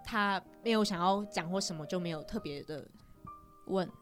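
A woman's voice: on the low side, moderate pace, faint music behind. A young woman hosts a casual chat, attentively prompting and echoing the other speaker. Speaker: podcast host. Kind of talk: casual chat, asking and responding.

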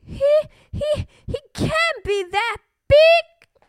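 Storybook bully bird played by a woman: high, screechy, scoffing, swooping wildly in pitch. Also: very animated, very slow, bright, forceful tone, dry recording, clean studio sound, exaggerated voice. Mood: frightened bluster. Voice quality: screechy, shrieking. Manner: scoffing, blustering.

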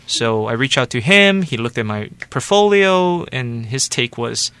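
A man from Taiwan in his forties, an animation studio director, giving steady, explanatory answers in an interview. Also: mid-range voice, unhurried, smooth, no room sound. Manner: explanatory, steady, loose with "like" and "you know" fillers.

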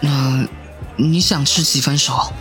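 Young man, shy, earnest, hesitant delivery, radio drama, soft music underneath. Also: on the high side, steady tone, takes it slowly. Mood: gentle, tentative. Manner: hesitant, stammering. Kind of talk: modern Mandarin audio drama acting.

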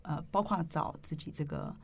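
A woman's voice: low-pitched, animated, normal speed, slight background noise. A woman with a Taiwanese Mandarin accent hosting an interview in a conversational way. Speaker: interview host.

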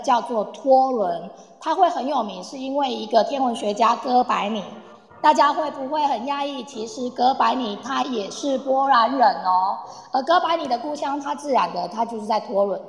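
Cheerful high-voiced tour guide played by a woman, reverberant. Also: creaky. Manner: chatty, addresses group, uses soft sentence-final particles. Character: cheerful, eager female tour guide in Poland. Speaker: tour guide in an audio drama. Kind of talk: amateur live radio-play performance, explanatory. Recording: echoey, long reverb, online audio-room recording.

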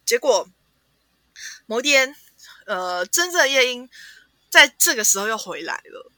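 Podcast host: woman, Taiwanese Mandarin accent, very bright higher voice, casual storyteller, playful asides. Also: very animated, measured pace, no room sound. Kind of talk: casual storytelling and book chat.

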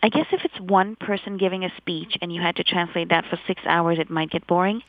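A middle-aged woman from India talks with an American-leaning English accent, her lowish voice carrying plenty of gravelly fry. She tells stories with enthusiasm. The sound is dry and a little dull, as if on a lo-fi recording. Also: fairly even intonation, fairly quick, no room sound. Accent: American-leaning English picked up in Taiwan. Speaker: guest, simultaneous interpreter. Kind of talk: casual interview chat.

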